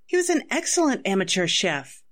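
An actress playing an anxious, evasive wife under suspicion, melodramatic and faltering, in 1940s radio style. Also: female voice, medium pitch, very animated, a little fast, natural voice. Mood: anxious, defensive. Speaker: actor in a radio drama.

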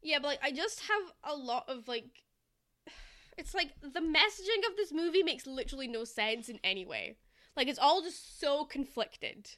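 A woman's voice: high voice, expressive, moderate pace, a bit bright. A young woman co-hosting a podcast, casually picking apart a movie in an analytical way with lots of filler "like". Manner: critical, analytical, frequent filler "like". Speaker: podcast co-host. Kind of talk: casual movie discussion chat.

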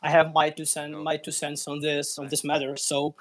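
Male audience participant, Ukrainian accent, native Russian speaker, fairly high voice, hesitant informal delivery. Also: completely flat delivery, normal speed. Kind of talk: informal personal account.

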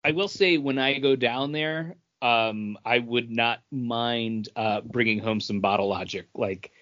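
A man's voice: medium pitch, a little slow, fairly bright tone. A man chatting casually as a podcast co-host. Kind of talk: casual chat. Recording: dull lo-fi audio.

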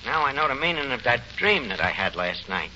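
Blustery New York wiseguy, muffled old radio. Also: male voice, mid-range voice, big pitch swings, a little fast, bright, forceful tone. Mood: mock-tragic. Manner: malapropisms, slangy ungrammatical bluster. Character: anxious, blustering tavern manager, comic wiseguy. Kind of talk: 1940s radio comedy acting. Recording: muffled, noisy 1940s radio recording.

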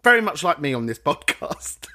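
Male podcast host, lively pitch, jokey and profane. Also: moderate pace. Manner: swears a lot, jokey, swings pitch widely for effect. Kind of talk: casual chat reading voicemails.

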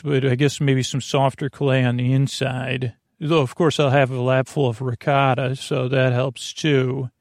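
A man hosting a bedtime podcast in a gravelly voice, rambling and halting as he wanders off into tangents. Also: mid-range voice, a little mellow, no room sound, clean studio sound. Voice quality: gravelly, creaky. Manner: meandering, trails off into tangents; halting, stumbling delivery. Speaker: podcast host.

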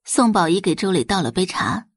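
A woman reading scripted narration for an audio drama in an expressive storytelling style. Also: on the low side, fairly quick, husky, dry recording, clean studio sound.

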